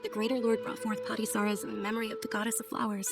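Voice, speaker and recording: An actress playing a polite, gentle young noblewoman with an admiring, devout temper in a video game. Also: medium pitch, completely flat delivery, rapid-fire, vocal fry, natural voice. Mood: sincere. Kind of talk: video game character voice acting.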